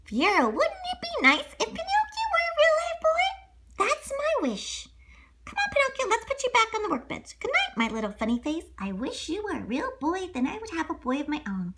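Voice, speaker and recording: A woman's voice: very high voice, huge pitch swings, fairly quick, fairly bright tone, a little hiss or hum, voice pushed up, heavily acted. A woman voicing a kindly old male toymaker in a storybook, doting and wishful, with a tender, exclaiming manner. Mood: tender, wistful.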